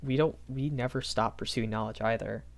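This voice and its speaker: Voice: mid-range voice, moderate pace, vocal fry, close to an everyday voice. A performer voicing a shy, earnest young magic academy professor in a rambling audio roleplay, hesitant and often self-correcting. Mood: thoughtful. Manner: hesitant, self-correcting, apologetic, nervous chuckles. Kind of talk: improvised character audio roleplay, rambling lecture.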